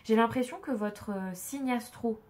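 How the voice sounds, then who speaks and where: A woman's voice: fairly high pitch, unhurried, darkish tone. Stuffy-nosed woman reading tarot. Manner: hesitant, many fillers and restarts. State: stuffy nose from a cold. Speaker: tarot card reader. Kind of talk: casual spoken tarot reading to viewers.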